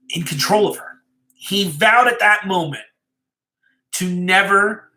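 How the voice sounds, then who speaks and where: A man reading a suspenseful story aloud as a storyteller, in a measured, dramatic way with pauses. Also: a little high, pushed and bright. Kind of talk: live dramatic story reading, first-person narration. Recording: roomy, echoey live-stream sound.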